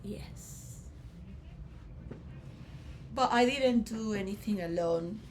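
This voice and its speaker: A woman giving a rambling philosophical monologue to role players, shifting between soft musing and loud emotional outbursts. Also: mid-range voice, very slow, slight background noise. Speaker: speaker addressing role players.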